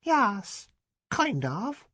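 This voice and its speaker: A man voicing a young village boy with a Dorset accent, talking in a high treble with rustic dialect. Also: huge pitch swings, very slow, fairly bright tone, no echo, heavily acted. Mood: sulky. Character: small tearful village boy, cheeky, playing tough.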